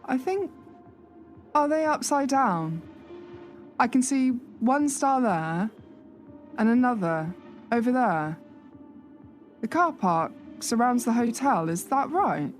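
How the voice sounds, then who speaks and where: Radio play casting: actress as sceptical, observant newcomer, dry and questioning, animated melody, light music behind. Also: female voice, fairly high pitch, takes it slowly, long pauses. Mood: curious, sceptical. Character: observant, inquisitive new woman employee, a mother, dryly sceptical.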